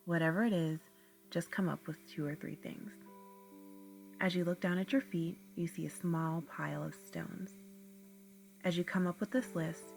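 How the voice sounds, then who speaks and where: A woman guiding a relaxation meditation, speaking in a slow, soothing, soft-spoken way. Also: on the low side, creaky. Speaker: meditation guide. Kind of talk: guided meditation narration.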